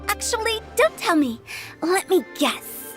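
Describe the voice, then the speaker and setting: A high, girlish voice for a playful young woman funeral director. She is theatrical and teasing, a wordy game character with music behind her. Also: big pitch swings, a little slow, full character voice. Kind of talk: video game character dialogue.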